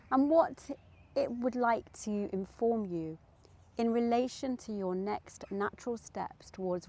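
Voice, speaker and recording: A woman leading a guided meditation in a gentle, soothing manner, leaving long pauses between short, deliberate phrases. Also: medium pitch.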